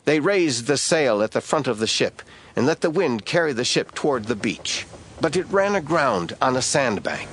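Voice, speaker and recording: Man narrating a dramatic scripture reading. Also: medium pitch. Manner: measured storytelling. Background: soft music score.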